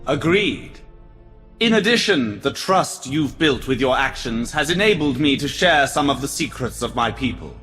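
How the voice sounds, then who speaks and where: A man's voice: mid-range voice, lively intonation, normal speed, fairly bright tone, natural voice. Man as a stately alien leader, heavily reverberant. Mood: solemn, gracious. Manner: formal, stately, solemn. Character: proud, courteous male alien Eldar leader. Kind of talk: video game cutscene acting. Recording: long reverb, music underneath.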